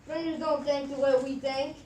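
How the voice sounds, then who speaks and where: A woman's voice: high-pitched, level tone, moderate pace, a little mellow, natural voice. A woman playing a fussy village mayor who distrusts strangers, in a puppet show recorded at a distance in an echoey room. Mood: disapproving. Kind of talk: puppet show read from a script. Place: echoey indoor meeting room at a library. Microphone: far camera microphone. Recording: distant mic, echoey room, faint noise.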